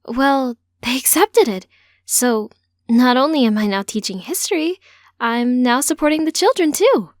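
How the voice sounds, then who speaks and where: A woman's voice: sits high, lively intonation, a little slow, dry recording, studio quality, voice pushed up. A woman voicing an earnest, slightly sheepish grown daughter in an audiobook story. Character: earnest young transgender woman, a teacher, confiding in mother.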